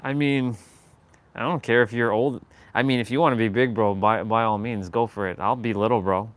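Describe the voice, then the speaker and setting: A man from Canada chats loosely with a viewer on his live stream. His voice sits fairly low, and he rambles and hunts for words. He laughs often. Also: fairly even intonation, fairly quick, no echo. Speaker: livestream host. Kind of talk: casual live-stream chat.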